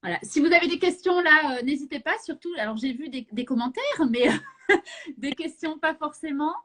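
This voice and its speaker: A woman hosting a live session, chatting casually with many fillers and quick laughs. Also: high voice, a bit bright.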